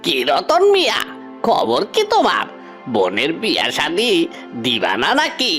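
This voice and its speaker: A woman's voice: deep voice, huge pitch swings, a little slow, fairly bright tone, faint music behind, exaggerated voice. A woman voicing a pushy village matchmaker in a cartoon, wheedling and colloquial. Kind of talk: cartoon fairy-tale voice acting.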